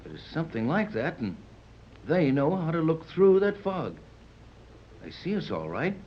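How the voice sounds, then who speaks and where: A man playing a steady, protective sea captain and inventor in a 1930s radio drama, commanding and reassuring. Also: on the high side, normal speed. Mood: intent. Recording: muffled, noisy 1930s radio recording.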